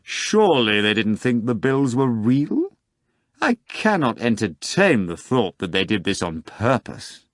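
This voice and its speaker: A man's voice: mid-range voice, sing-song, measured pace, no echo, clean studio sound, voice pushed up. A man voicing a suave, condescending male magician in an audiobook reading. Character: suave, condescending foreign magician, an older devil.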